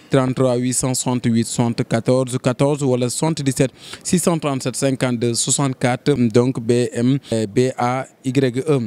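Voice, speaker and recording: A man's voice: medium pitch, a little mellow. Senegalese man hosting a trade-fair interview. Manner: promotional, hesitant fillers. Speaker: reporter and host. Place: exhibition stand indoors. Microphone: handheld.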